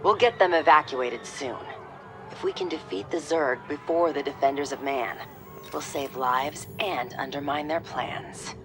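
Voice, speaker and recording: A woman's voice: low-pitched, unhurried. A woman playing a resolute covert military operative in a video game, commanding and urgent with clipped, authoritative delivery. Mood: focused. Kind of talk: scripted video game acting. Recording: echoing, loud music underneath.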